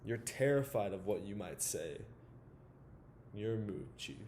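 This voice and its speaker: A wounded older mentor played by a man delivers a dramatic monologue in a lowish voice. He is blunt and deliberate, leaving heavy pauses between pointed challenges, over a noisy, rumbling background. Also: expressive, a little mellow. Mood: frank, challenging. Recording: noticeable background noise with low rumble.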